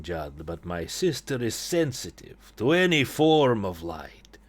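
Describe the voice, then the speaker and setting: A man voicing a sinister, courteous brother with a vague Eastern European accent, formal and insistent in manner, from a gothic story. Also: fairly low pitch.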